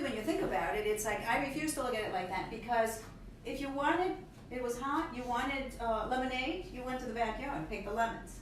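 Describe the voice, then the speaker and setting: Expressive woman in her fifties, echoey room. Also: fairly high pitch. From Ecuador. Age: 50s. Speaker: guest. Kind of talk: casual interview storytelling. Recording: echoey room, far from mic, noisy.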